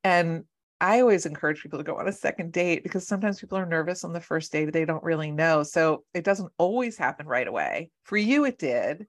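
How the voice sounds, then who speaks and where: A woman with a low-pitched voice hosts an interview show. She works as a matchmaker and speaks in an encouraging, affirming way. She gives advice in a casual, conversational tone. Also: lively intonation, fairly quick. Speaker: podcast host and matchmaker.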